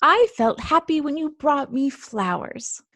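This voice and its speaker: A woman's voice: high-pitched, expressive, a little slow, a bit bright, pitched above the performer's own voice, exaggerated voice. Woman playing a kindly old grandmother mouse. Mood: gentle interest. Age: older. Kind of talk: children's storybook read-aloud.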